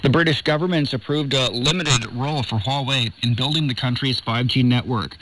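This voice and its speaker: A man's voice: medium pitch, a bit bright. Man reading news over noisy shortwave radio. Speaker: news presenter. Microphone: studio broadcast heard over shortwave.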